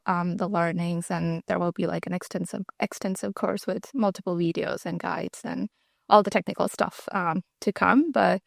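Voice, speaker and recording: Young woman with creaky hesitant talk. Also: mid-range voice, dry recording, studio quality. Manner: hesitant, many ums and likes. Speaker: co-host, marketing ops. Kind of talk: casual work chat.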